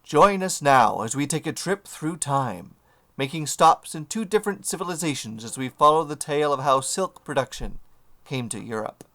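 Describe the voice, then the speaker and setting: A man's voice: fairly high pitch, expressive, moderate pace, sharp tone. A man acting as announcer, reading a scripted introduction to an audio play.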